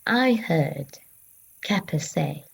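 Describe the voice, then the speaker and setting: A woman playing a grieving but resolute female disciple who recalls her rejection in a halting dramatic monologue with long pauses. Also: deep voice, animated, vocal fry, slightly rough, exaggerated voice. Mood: remembering, guarded.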